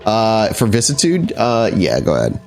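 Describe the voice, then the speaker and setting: A man with a fairly low voice works as game master of a horror roleplay. He improvises descriptions haltingly, feeling for the right word, with soft music underneath. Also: wildly swooping pitch, creaky. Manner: halting, descriptive, searches for words. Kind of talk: improvised tabletop horror narration. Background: background music.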